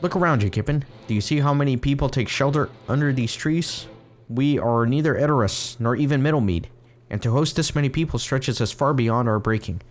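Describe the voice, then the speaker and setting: A man reading the lines of a worried leader of a crowded refugee village, in a solemn, plain reading voice at middle pitch. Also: fairly quick, lightly acted. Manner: solemn, plainly read quest text.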